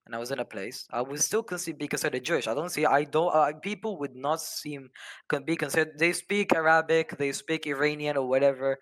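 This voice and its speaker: A man in an unscripted debate as a caller, halting and flustered, stammering and repeating his words as he argues. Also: a little high, a bit bright. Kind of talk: unscripted argument.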